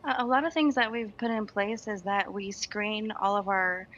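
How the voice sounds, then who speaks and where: Bright woman nurse, hesitant and earnest, dull remote audio. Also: medium pitch, fairly even intonation, moderate pace. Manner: earnest, with many ums and restarts. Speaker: registered nurse. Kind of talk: news interview answers.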